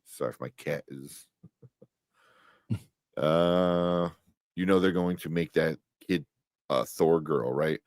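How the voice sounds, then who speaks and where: A man's voice: rumbling low, takes it slowly, pauses often, a little mellow, no echo, studio quality. A man hosting a casual live pop-culture chat, rambling enthusiastically and often searching for names. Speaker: host of a pop-culture review show.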